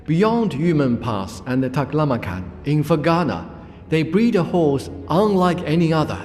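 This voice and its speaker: A man's voice: on the high side, big pitch swings, moderate pace, darkish tone. A man playing a respectful, well-traveled envoy at an ancient court in an audio drama, telling of wonders formally and earnestly. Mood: awed, earnest. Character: respectful, awed imperial envoy and seasoned traveler. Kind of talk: amateur audio drama acting. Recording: hall reverb with music behind.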